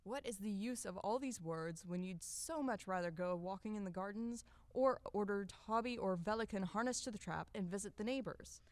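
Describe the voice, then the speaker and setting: An actress playing a fussy, devoted old household servant in an audio play, coaxing and deferential. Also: female voice, mid-range voice, normal speed, few pauses, dry recording, close to an everyday voice. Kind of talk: audio play acting of a classic stage comedy.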